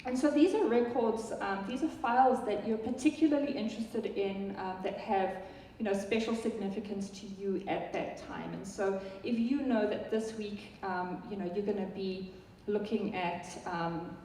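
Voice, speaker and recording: A woman's voice: mid-range voice, normal speed, hardly any pauses, dark tone, slight background noise. A woman from South Africa with a South African accent, giving a chatty live product talk to an audience, with many ums and restarts. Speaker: software product presenter. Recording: echoey hall from far off.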